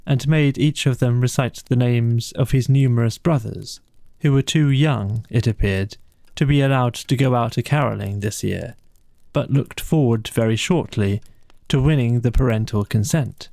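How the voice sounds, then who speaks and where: A man's voice: mid-range voice, moderate pace, vocal fry, darkish tone, dry recording. A man narrating a scripted bedtime story as an audiobook reader.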